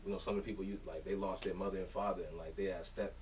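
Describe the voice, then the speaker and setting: Deep, fast-talking man, slightly off-mic. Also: flat delivery, a little mellow, recorded from a distance. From born in Liberia, raised in Lowell, Massachusetts. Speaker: podcast guest, music artist. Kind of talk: casual slangy conversation. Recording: off-mic with faint room noise.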